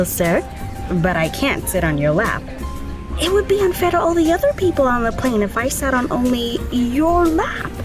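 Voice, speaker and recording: A woman playing a polite flight attendant who sweetly deflects a pushy passenger. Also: sits high. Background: music.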